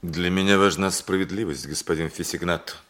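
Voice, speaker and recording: A self-important learned dentist in a radio drama. The voice is very low, with pompous, deliberate phrasing that insists on principle. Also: male voice, steady tone, fairly bright tone. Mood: dignified, pompous. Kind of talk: theatrical radio play acting.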